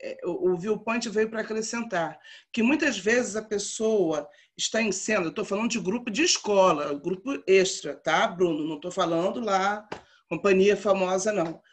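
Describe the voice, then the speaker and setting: A woman in her fifties who teaches drama to young people. She speaks emphatically and repeats her points, at a middle pitch. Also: normal speed. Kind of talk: class discussion.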